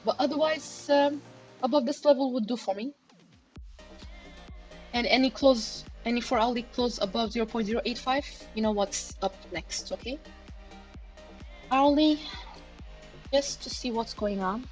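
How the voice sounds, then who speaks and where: A woman's voice: a little high, measured pace, long pauses. Chatty woman hosting a live chart stream. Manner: chatty, many fillers, addresses chat directly. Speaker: crypto chart analysis livestream host. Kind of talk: casual live market commentary. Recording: livestream with faint background music. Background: faint background music.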